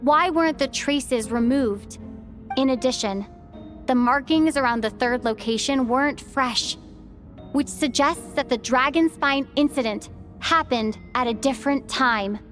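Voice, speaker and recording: A woman's voice: high voice, a little slow, fairly bright tone. A woman voicing an earnest, thoughtful young traveler in a fantasy video game, with a careful, reflective delivery. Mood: earnest, reasoned. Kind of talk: dramatic video game voice acting. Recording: music behind the voice.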